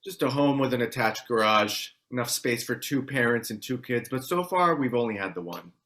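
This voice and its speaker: Concerned husband and father, lively delivery from a male actor. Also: medium pitch, moderate pace, natural voice. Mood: conversational. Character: John, anxious protective husband and father. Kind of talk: table read acting.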